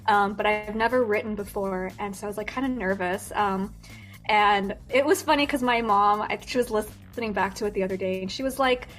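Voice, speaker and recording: A woman's voice: medium pitch, brisk, a bit bright, soft background music. A young American woman chatting as a guest singer in an interview, talking with lots of fillers, restarts and giggles. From United States. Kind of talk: casual interview chat.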